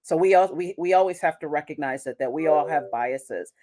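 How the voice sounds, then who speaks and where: A woman's voice: low-pitched, fairly quick, mellow. A woman who works as an international manager, speaking as a guest in a conversational interview. She talks reflectively, restarting sentences and asking rhetorical questions. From United States.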